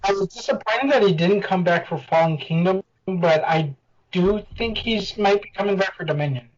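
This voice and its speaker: A man's voice: high-pitched, moderate pace. A man chatting on a podcast, telling anecdotes and laughing at them. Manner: chatty, storytelling, laughing at his own anecdotes. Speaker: podcast panelist. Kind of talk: casual chat. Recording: dull lo-fi mic.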